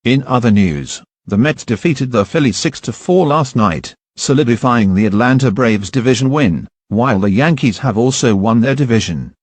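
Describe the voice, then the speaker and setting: A man's voice: medium pitch, animated, normal speed, few pauses, a little mellow, no echo, natural voice. Local news anchor, male actor: mid-range voice, chatty scripted delivery, dry studio-like sound. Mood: chatty, informative. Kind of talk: scripted morning news read.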